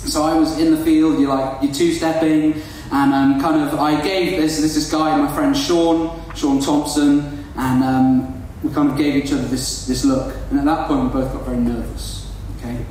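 A man's voice: fairly high pitch, little pitch movement, normal speed, few pauses, darkish tone, heavy reverb, distant mic, slight background noise, low-quality recording. A young Welsh-born man whose first language is Welsh, telling a comic story to an audience in a chatty, self-deprecating way and calling his listeners folks. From Wales. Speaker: storyteller on stage.

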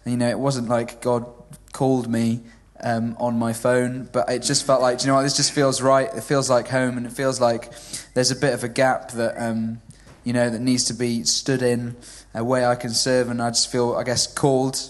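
Young Welsh-born man with flat delivery. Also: mid-range voice, moderate pace, few pauses. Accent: well-spoken southern English. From Wales. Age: 20s. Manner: chatty, hesitant with many ums. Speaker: church intern. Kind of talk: church stage interview. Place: church service.

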